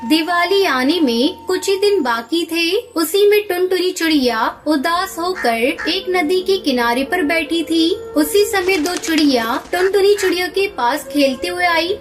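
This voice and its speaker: A woman's voice: very high-pitched, lively intonation, a little slow, few pauses. A woman narrating a scripted children's cartoon story. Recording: music under the voice.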